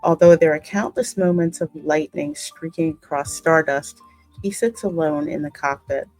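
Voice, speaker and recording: A woman's voice: low-pitched, normal speed, darkish tone, soft background music, low-quality recording. A woman gaming host reading a story aloud and breaking into casual comments. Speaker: gaming video host and narrator.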